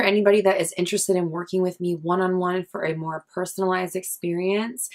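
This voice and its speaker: A woman in her thirties talking candidly in a casual vlog, chatty and swearing freely, with many likes and restarts. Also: medium pitch. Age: 30s. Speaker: vlogger.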